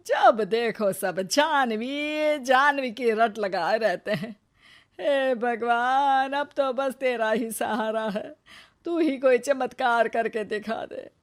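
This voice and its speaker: A woman voicing an aggrieved, jealous older mother-in-law with a theatrical, complaining manner in a Hindi moral story cartoon. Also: high-pitched.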